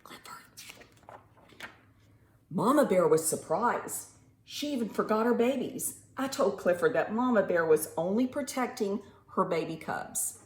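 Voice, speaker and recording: A woman reading a picture book aloud to young children in an animated, teacherly way, pausing to ask simple questions and stretching words for emphasis. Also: mid-range voice. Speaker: children's storytime reader and teacher. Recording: roomy echo with faint background noise.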